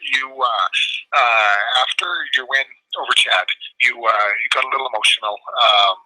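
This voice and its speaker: A man working as a reporter, asking a polite question haltingly over a telephone conference line. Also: medium pitch, sharp tone, dry recording, low-quality recording. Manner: halting, hesitant. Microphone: phone.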